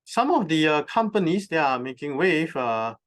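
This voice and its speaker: A man giving a conference presentation, explaining terms step by step and pausing often on filler sounds. He has just moved to Hong Kong from North America. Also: on the high side, sing-song, a bit bright. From recently moved from North America. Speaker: conference speaker. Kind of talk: presentation talk. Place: conference venue.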